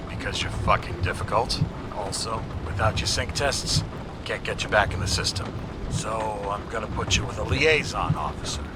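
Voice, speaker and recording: An actor playing a brusque, wry police chief in a video game, blunt, teasing and businesslike. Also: male voice, fairly low pitch, expressive, moderate pace, lightly acted. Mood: wry, exasperated. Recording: loud urban traffic noise behind.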